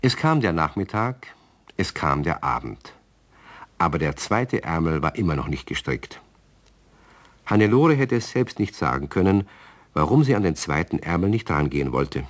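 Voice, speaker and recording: A man narrating a children's radio story calmly, speaking to young listeners. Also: deep voice, normal speed.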